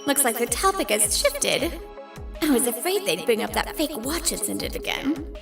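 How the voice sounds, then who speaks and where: A woman's voice: a little high, big pitch swings, normal speed, vocal fry, music in the background. A woman voicing a sly, smug office worker muttering asides in a manga dub. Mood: smug, relieved. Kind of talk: scripted manga dub acting.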